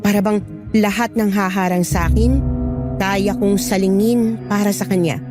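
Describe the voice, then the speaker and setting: A woman's voice: mid-range voice, fairly even intonation, moderate pace, loud music behind the voice. A woman narrator reading a scripted first-person horror story.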